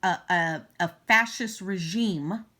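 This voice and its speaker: A woman vlogger giving unscripted political commentary in a halting, emphatic way. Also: on the low side, sharp tone.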